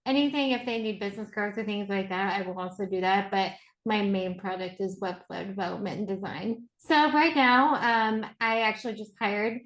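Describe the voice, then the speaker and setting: A woman from Indiana speaks at a middle pitch, chatty and a little hesitant. Her voice carries the echo of a roomy space. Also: moderate pace, a bit bright. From Indiana, USA. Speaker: freelance web designer.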